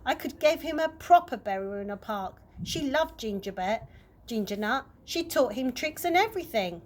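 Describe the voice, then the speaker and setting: A woman voicing a meek, soft-hearted, pleading father in a children's story. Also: fairly high pitch, expressive, moderate pace. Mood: protesting. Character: meek, pleading, downtrodden dad.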